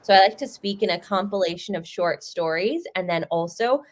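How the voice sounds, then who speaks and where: A woman's voice: fairly low pitch, normal speed. A young American woman from Chicago giving a conversational talk over a video call, telling personal stories. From Chicago, United States. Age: young adult. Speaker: guest speaker. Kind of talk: storytelling talk.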